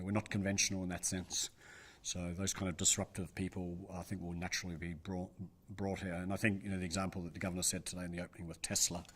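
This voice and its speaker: A middle-aged man gives interview answers in a deep, low-pitched voice. He talks quickly and thinks aloud, hedging his points as he goes. He sounds like a business advisor weighing his words. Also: a bit bright, slightly rough. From New Zealand. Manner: thoughtful, hedging with frequent "I think" and "you know".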